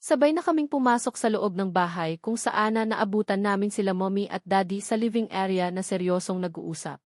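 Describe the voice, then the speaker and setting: A woman's voice: medium pitch, a little fast, dry recording, studio quality. A woman with a Filipino accent narrating a first-person romance novel from a script. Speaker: audiobook narrator.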